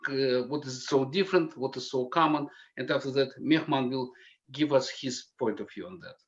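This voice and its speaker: A man's voice: medium pitch, normal speed, highs cut off. A man moderating a panel discussion, asking questions in a casual, informal way.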